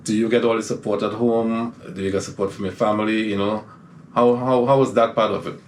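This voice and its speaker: A man's voice: lowish voice, steady tone, a little fast, a little hiss or hum. A man hosting a casual podcast interview, encouraging and often affirming with "right". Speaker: podcast host.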